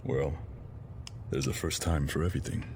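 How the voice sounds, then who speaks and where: A man's voice: extremely low pitch, fairly even intonation, moderate pace, background noise. A man playing a stern, sceptical senior spy chief in an audio drama, curt and dismissive.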